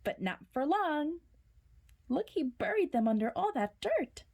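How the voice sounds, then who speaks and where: A woman reads a picture book aloud to children. Her voice is fairly high and very lively in pitch. She takes it slowly and dramatically, building suspense with hushed words. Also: vocal fry. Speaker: children's storyteller.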